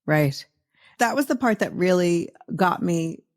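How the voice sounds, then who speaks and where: A woman speaks as the host of an interview in a slightly low register. Her delivery is conversational and reflective. She is agreeable, building on the points being made. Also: lively intonation, measured pace.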